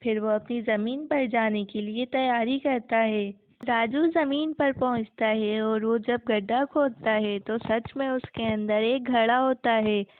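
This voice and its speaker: A woman's voice: fairly high pitch, measured pace, no room sound. A woman narrating a scripted children's moral story as the storyteller. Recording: muffled, narrow phone-like sound.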